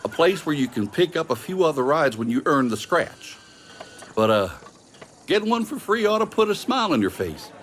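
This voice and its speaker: An actor playing a folksy, wry pilot mentor in a video game cutscene, welcoming but firm and plain-spoken. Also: male voice, on the high side, very animated, moderate pace, slight background noise. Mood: friendly, firm.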